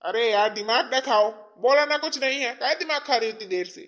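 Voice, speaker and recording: A man's voice: extremely high pitch, moderate pace, sharp tone. A man playing a middle-aged father-in-law in a domestic skit, blunt and colloquial. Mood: irritated, scolding. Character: irritable father-in-law, gruff with wife, sweet on daughter-in-law.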